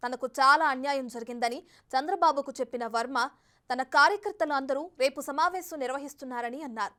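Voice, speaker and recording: A woman's voice: sits high, a little fast, bright, forceful tone. A woman news anchor presenting live television news and putting questions to a field reporter.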